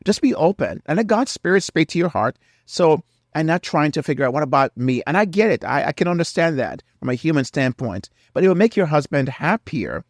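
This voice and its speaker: A man's voice: fairly high pitch, no echo, studio quality. A middle-aged man hosting a faith-based marriage podcast, earnest and preacherly, sounding nasal from a cold. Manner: earnest, preacherly, repeats key phrases. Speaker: podcast host.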